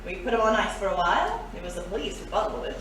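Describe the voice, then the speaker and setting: A woman's voice: mid-range voice, very animated, quick pace, far from the mic, a crowd in the background, close to an everyday voice. Cool female thief in an echoey room, woman acting. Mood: grave. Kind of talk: pulp adventure audio drama acting. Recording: echoey, off-mic, faint noise.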